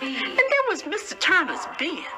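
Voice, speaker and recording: A woman's voice: high-pitched, wildly swooping pitch, normal speed. Woman playing a folksy old storyteller woman over music. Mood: wry reminiscing. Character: spirited elderly formerly enslaved woman reminiscing. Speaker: poetry reader. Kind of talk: dramatic first-person storytelling in verse.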